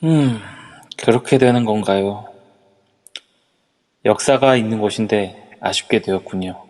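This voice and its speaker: A man's voice: lowish voice, unhurried, a little mellow, big-room sound. A man playing a middle-aged male company executive on the phone, polite and formal. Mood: concerned. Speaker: voice actor. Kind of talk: amateur audio drama acting. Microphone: phone app mic.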